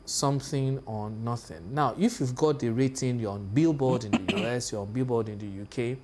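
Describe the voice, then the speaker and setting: A man's voice: mid-range voice, moderate pace, darkish tone, slight background noise. A man in his thirties with a Nigerian accent, co-hosting a television talk show and speaking hesitantly, with many fillers and restarts. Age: 30s. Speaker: co-host. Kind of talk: casual panel chat. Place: television studio.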